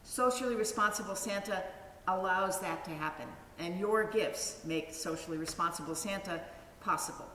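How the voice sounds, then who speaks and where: A woman's voice: fairly low pitch, moderate pace, sharp tone, a little hiss or hum. Woman speaking at a distance in a hall. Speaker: minister. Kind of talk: worship service announcement. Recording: distant mic in an echoey hall.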